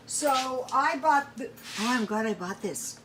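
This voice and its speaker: A woman's voice: sits high, animated, measured pace, background noise. A woman chatting casually to viewers while cooking at home, thinking aloud in a rambling, folksy way. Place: home kitchen.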